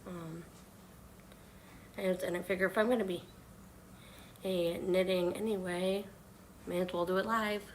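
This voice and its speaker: A hoarse woman chatting softly on a live stream while she knits, with many pauses and fillers. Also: fairly low pitch, fairly even intonation, a little hiss or hum. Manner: halting, many ums and uhs. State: hoarse from illness. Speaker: knitting livestreamer. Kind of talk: soft-spoken live stream chat.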